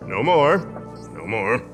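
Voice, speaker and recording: A man's voice: mid-range voice, a bit bright, full character voice. A man playing a vain, pompous professor in a video game, speaking dramatically and evasively. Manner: pompous, dramatic, stammering when flustered. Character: vain, theatrical male professor, evasive and easily flustered.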